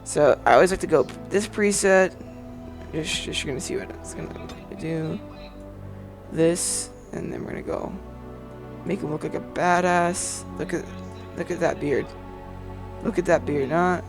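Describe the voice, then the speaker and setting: Young male let's-player, high voice, crude jokes, headset mic. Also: unhurried, pauses often, music in the background. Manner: excitable, crude, joking. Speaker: gaming video host. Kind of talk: casual let's play commentary. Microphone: gaming headset.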